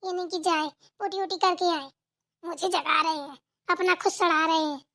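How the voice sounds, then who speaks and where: A woman acts out a spoiled young wife in a family cartoon. The voice is extremely high and girlish. She is fussy and stubborn, answering back in a whiny, snippy way. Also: steady tone, moderate pace, bright, forceful tone, heavily acted. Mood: disgusted, stubborn. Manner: petulant, snippy back-talk. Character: whiny, stubborn, lazy young daughter-in-law, complaining and fussy. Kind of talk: amateur Hindi family cartoon dialogue.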